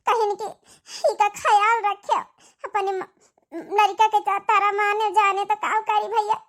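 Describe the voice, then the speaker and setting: A woman's voice: very high voice, level tone, a little slow, fairly bright tone. A woman voicing a devoted, grieving young sister in a cartoon, pleading earnestly in an Awadhi accent. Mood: sorrowful. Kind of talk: dramatic cartoon dialogue in dialect.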